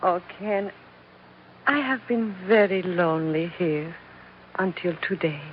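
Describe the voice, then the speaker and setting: A woman's voice: lowish voice, slow pace. An actress playing a romantic, lonely young woman with a coy, eager manner in 1940s radio drama style. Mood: tender. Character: romantic, lonely, modest young plantation heiress. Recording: muffled, noisy old radio broadcast.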